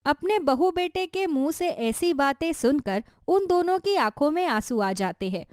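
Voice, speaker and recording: A woman's voice: sits high, expressive, moderate pace, a little mellow, no echo, studio quality. A woman reading scripted narration for a cartoon story.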